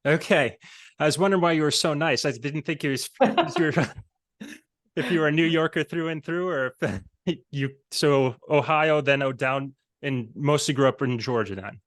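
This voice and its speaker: Middle aged american guy hosting a podcast, just chatting and asking questions, normal mid pitch. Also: normal speed. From Pennsylvania, United States. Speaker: podcast host. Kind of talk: casual conversational interview.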